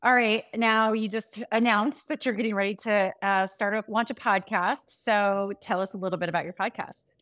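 A woman's voice: mid-range voice, steady tone, normal speed, few pauses, fairly bright tone, no room sound, studio quality. A woman in her mid-forties hosting a podcast interview in a casual, conversational way.

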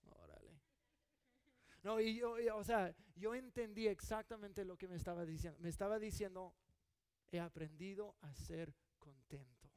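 A man's voice: high voice, moderate pace, darkish tone, smooth, no room sound. A middle-aged man from California preaching a sermon in an earnest, conversational way, often restarting his sentences. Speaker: pastor.

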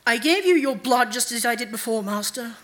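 A woman's voice: on the high side, animated, brisk, a bit bright. A woman voicing a troubled undead male king, a bitter and defiant servant who speaks tersely and intensely, in a fantasy audiobook. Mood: stony, demanding.

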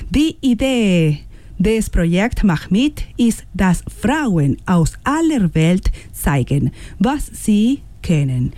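A woman presents a radio programme in a slow, measured delivery. Her tone is mellow and her manner encouraging. The recording is close and dry. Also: medium pitch, dry recording. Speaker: radio host and workshop leader. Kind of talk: partly scripted radio presentation with informal questions.

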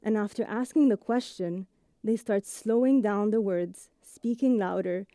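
A woman in her thirties from Egypt, with an accent blending British and American English with Egyptian and Italian touches, reading a measured, reflective first-person radio essay. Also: mid-range voice, mellow, no echo. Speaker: audio journalist.

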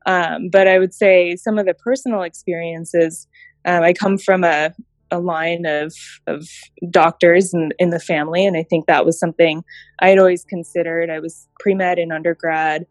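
A woman in her thirties, from Japan, with a lower voice on a dry, close recording. She speaks reflectively as an interview guest, telling her story with thoughtful pauses. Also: steady tone, no echo, soft background music. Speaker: guest, healthcare innovation director. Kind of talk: interview conversation.

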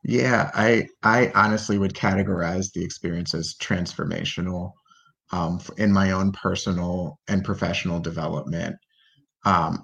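A man who is a business school graduate, a podcast guest, talking in a measured, articulate way full of business terms. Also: low voice, creaky, fairly bright tone, husky, lo-fi sound. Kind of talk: casual podcast interview.

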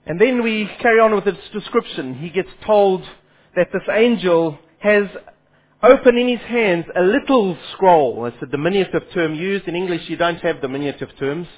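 A man's voice: high voice, moderate pace. A man preaching a Bible sermon, his Afrikaans, who poses questions to his listeners and then answers them. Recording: phone-like lo-fi sound.

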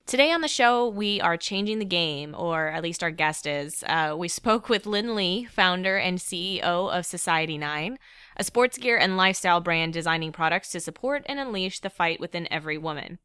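Bright, polished woman host. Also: on the low side, lively intonation, moderate pace, smooth, no room sound, studio quality. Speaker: podcast co-host. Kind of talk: scripted show introduction.

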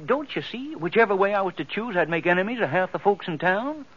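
A man's voice: sits high, lively intonation, quick pace, breathy edge. An American man playing a flustered, wheedling small-town undertaker in a 1950s radio western, with a folksy, stammering, pleading delivery. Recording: dull, lo-fi 1950s radio recording.

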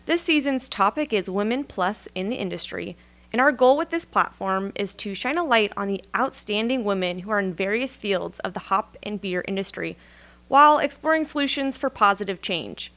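A woman's voice: medium pitch, expressive, moderate pace, fairly bright tone. A woman hosting a podcast, reading a polite, formal welcome and then asking her guest questions. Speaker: podcast host. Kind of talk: scripted intro, then interviewing.

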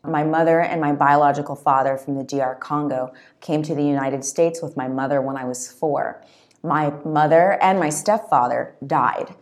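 A low-pitched woman guest on an interview podcast, talking earnestly and reflectively about her own story. She was born in Zambia and speaks in a thoughtful, conversational way, often adding "you know" and "right?". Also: fairly even intonation, moderate pace. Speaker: author guest.

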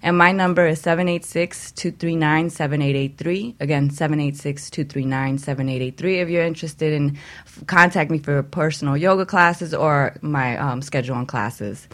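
A woman's voice: sits low, normal speed, fairly bright tone. A woman co-hosting a radio talk show, upbeat and giggly as she introduces herself as a yoga teacher. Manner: upbeat, giggly, reads out contact details.

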